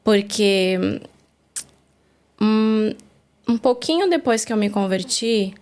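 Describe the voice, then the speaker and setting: A woman's voice: medium pitch, lively intonation, takes it slowly, darkish tone. A woman from Brazil, the guest on a faith interview, telling her story in an earnest, reflective way, recorded in a studio.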